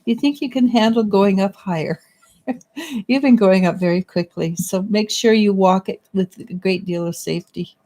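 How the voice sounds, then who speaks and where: A middle-aged woman giving a casual psychic reading, halting and restarting as she searches for words. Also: mid-range voice, mellow. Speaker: psychic reader. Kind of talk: casual spiritual reading and chat.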